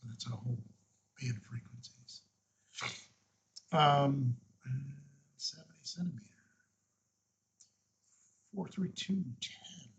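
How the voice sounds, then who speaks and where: A man hosting an unscripted, casual software walkthrough, thinking aloud and murmuring to himself as he works. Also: mid-range voice, animated, slow pace, pauses often, muffled recording. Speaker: host of a radio tutorial.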